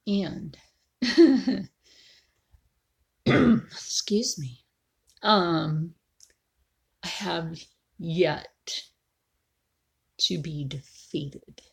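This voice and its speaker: A woman in her forties talks slowly and casually to her viewers, her voice very husky and gravelly. She slips often into a hushed whisper, settling low in her range. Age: 40s. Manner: slow, frequently drops into a whisper. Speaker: video creator. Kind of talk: casual livestream chat while drawing.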